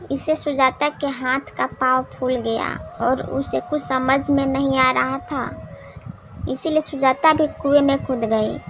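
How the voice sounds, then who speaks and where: Female narrator for a moral story, high register, unhurried pace, noisy recording. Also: steady tone, a bit bright. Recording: clearly noisy background.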